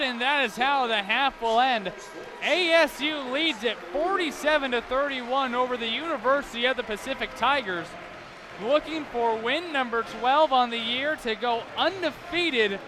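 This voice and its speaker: An American man doing live basketball play-by-play as an announcer, describing the action in running detail from courtside in a big arena. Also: very high voice. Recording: loud crowd and arena noise behind.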